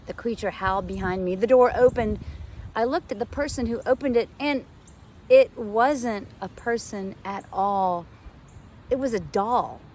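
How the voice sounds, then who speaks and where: A woman's voice: medium pitch, a little slow, a little mellow, slight background noise. A woman reading a children's novel aloud like a teacher, with dramatic, suspenseful expression. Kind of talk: expressive storybook read-aloud.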